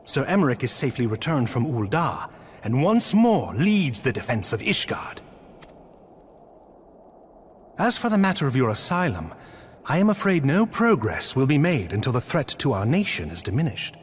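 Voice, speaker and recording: A man's voice: medium pitch, sing-song, normal speed, a little hiss or hum, lightly acted. An actor playing a gracious, loyal nobleman knight in a video game, speaking in courtly, formal phrasing. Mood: grave, formal. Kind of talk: scripted video game dialogue.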